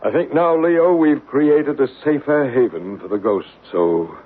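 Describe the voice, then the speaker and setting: A man playing a gentle, assured male psychic medium in a 1970s radio drama, explaining patiently. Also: medium pitch, a little slow, husky. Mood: reassuring. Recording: narrow radio broadcast with faint hiss.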